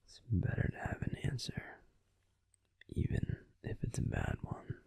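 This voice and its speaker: A man playing a grumpy but tender werewolf boyfriend in an intimate sleep-aid audio roleplay, confiding casually in a breathy, husky, unhurried whisper, close to the microphone. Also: warm tone, no echo, close to an everyday voice. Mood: confiding, subdued. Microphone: close microphone, dry.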